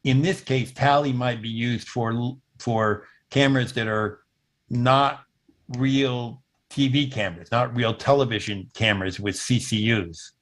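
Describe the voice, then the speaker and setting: A man in his seventies giving an explanatory technical demo over a video call, often pausing mid-sentence as he goes. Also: medium pitch, steady tone. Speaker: presenter demonstrating intercom software. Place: indoor room at home, on a video call.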